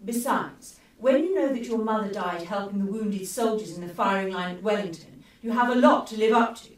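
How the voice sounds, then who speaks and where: A woman's voice: medium pitch, fairly quick, far from the mic, close to an everyday voice. A woman playing a brave, determined young lady adventurer in a steampunk radio play, firm and proper. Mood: steady resolve. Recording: very echoey room, off mic.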